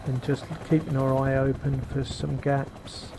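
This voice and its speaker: A middle-aged man with a very deep voice, giving casual commentary while flying a simulated helicopter, unhurried and full of fillers, on a cheap headset microphone. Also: completely flat delivery. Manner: unhurried, explains as he flies, frequent fillers. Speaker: flight sim video maker. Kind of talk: casual flight sim commentary. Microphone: cheap gaming headset microphone. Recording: loud helicopter engine noise behind. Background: helicopter sim engine and rotor noise.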